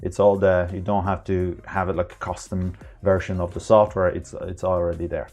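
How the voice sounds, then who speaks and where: A man presenting an informal, unscripted tech tutorial, explaining in a halting way with non-native phrasing. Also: deep voice, level tone, darkish tone, soft background music.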